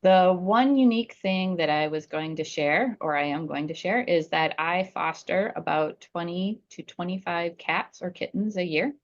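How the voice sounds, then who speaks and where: A woman's voice: fairly low pitch, normal speed, hardly any pauses. A woman product executive from North Dakota with a slight Upper Midwest accent, introducing herself plainly over a video call. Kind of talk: casual self-introduction. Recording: dull, muffled call audio.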